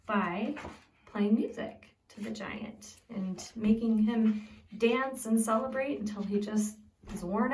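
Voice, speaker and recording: A woman reads a picture book aloud as a preschool teacher, simply and expressively, pausing to put questions to young listeners. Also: fairly high pitch, a little hiss or hum. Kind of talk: read-aloud storytelling with questions to young children. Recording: echoey room, distant from the mic.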